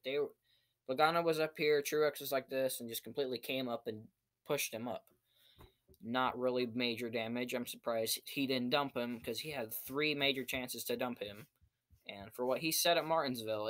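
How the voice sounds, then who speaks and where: A young man reviews events in a casual vlog style. His voice sits at a middling pitch and he delivers his recap in short, choppy pieces. He is recorded indoors at desk distance from a computer mic. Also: fairly bright tone. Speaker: amateur race reviewer. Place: small cluttered room indoors.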